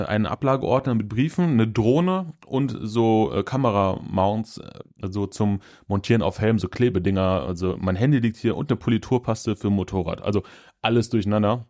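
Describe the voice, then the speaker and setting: A man's voice: lowish voice, a little mellow. A man in his thirties from Germany, hosting his own podcast in a loose, upbeat chat from his office, with plenty of fillers. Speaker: podcast host. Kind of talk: casual solo podcast chat.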